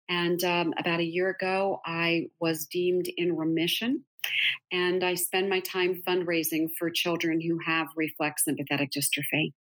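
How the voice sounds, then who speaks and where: A woman's voice: on the low side, fairly bright tone, low-quality recording. A middle-aged woman guest, telling her story in an earnest, emphatic way during an interview conversation. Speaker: interview guest, author and speaker.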